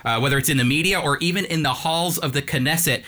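A man hosts a radio program with a bright, forward tone that carries well. He talks earnestly, stumbling and restarting now and then. His voice is close and dry, with no room sound. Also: on the high side, fast, dry recording. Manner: earnest, with frequent restarts and fillers. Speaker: radio show host and teacher. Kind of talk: radio interview and commentary.

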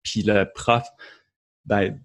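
A man with an Acadian French accent, fairly low-voiced, talks through his story with frequent restarts and fillers. He sounds earnest and conversational, like a guest on a casual interview podcast. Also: level tone, drawn-out words, fairly bright tone. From Moncton, New Brunswick, Canada.

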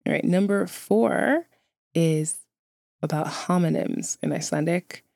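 Low-voiced woman, wry casual vlogger. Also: measured pace, gravelly, darkish tone, no echo, studio quality. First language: English. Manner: wry, candid, self-deprecating. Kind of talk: casual chat to viewers.

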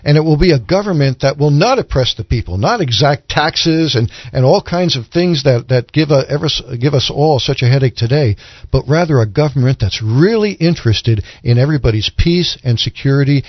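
Male religious panelist, mid-pitched, earnest and explanatory, dry lo-fi recording. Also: expressive, brisk, no echo. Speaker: religious podcast panelist explaining Bible prophecy. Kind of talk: conversational Bible discussion.

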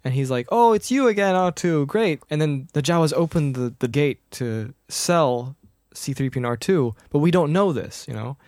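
A man casually discussing a movie, rambling and animated, breaking into high voices to quote lines. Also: moderate pace, few pauses, dry recording, studio quality.

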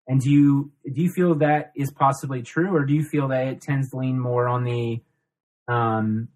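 A man's voice: mid-range voice, fairly even intonation, normal speed, a little mellow. A young man from Ohio hosting a casual podcast, loose and laughing, swearing freely as he chats and interviews a guest. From Ohio, USA. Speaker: podcast host.

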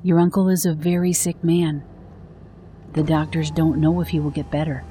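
A woman playing a gentle, sensible mother of a young boy in an audio drama. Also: low-pitched, little pitch movement, moderate pace, a little hiss or hum, lightly acted. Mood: gentle, soothing.

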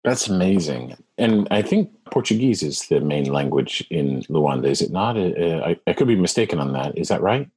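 Male podcast-style interview host, deep register with a rough husky edge, asking questions conversationally. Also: big pitch swings, normal speed, few pauses, darkish tone.

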